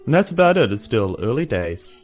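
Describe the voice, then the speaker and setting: A man's voice: mid-range voice, sing-song, fairly quick, soft background music. A man with a New Zealand accent playing a tabletop game, chatting casually in and out of character with a joking, bantering manner. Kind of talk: casual roleplay chat.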